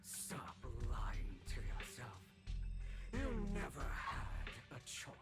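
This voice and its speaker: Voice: medium pitch, huge pitch swings, slow. An actor voicing a menacing, bitter inner demon in a video game, taunting in hissed whispers and fierce outbursts. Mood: scornful. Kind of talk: dramatic video game voice acting. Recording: loud background music from game.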